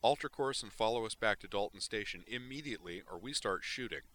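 A man's voice: lowish voice, expressive, brisk, fairly bright tone, a little hiss or hum, voice pushed up. A man voicing a stern male patrol commander giving orders over a ship's comm.

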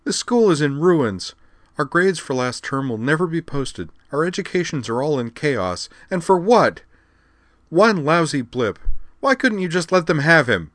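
A woman's voice: sits low, sing-song, a little fast, no echo, clean studio sound, pitched above the performer's own voice. A man voicing a bitter, frightened young blonde woman who snaps and cries out in desperation. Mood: bitter, desperate.

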